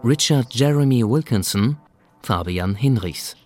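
A man's voice: medium pitch, animated, a little slow, darkish tone. A man reading scripted radio play credits as an announcer. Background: faint music.

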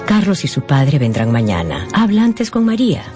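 A woman's voice: deep voice, wildly swooping pitch, moderate pace, over music. An actress playing an anxious, loving middle-aged mother who pleads emphatically with her son in a radio drama. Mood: tender, advising.

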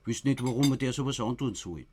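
Radio crime drama: grim village survivalist, played by a man, Austrian accent, medium pitch, self-assured, blunt. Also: brisk, dark tone, a little hiss or hum, natural voice. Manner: blunt, colloquial, ominous. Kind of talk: naturalistic radio play dialogue.

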